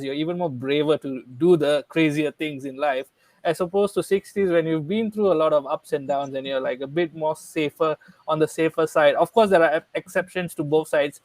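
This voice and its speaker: A man explaining ideas in a relaxed podcast conversation, earnest and thorough, often checking in with "right?". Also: a little high, moderate pace, no echo. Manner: earnest, explanatory, frequent "right?" tag questions. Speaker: guest speaker.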